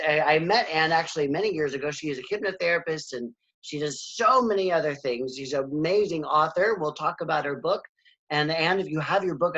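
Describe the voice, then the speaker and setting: A man's voice: on the high side, a bit bright. A man hosting a wellness podcast, upbeat and enthusiastic in a casual interview. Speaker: podcast host. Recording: slightly dull lo-fi sound.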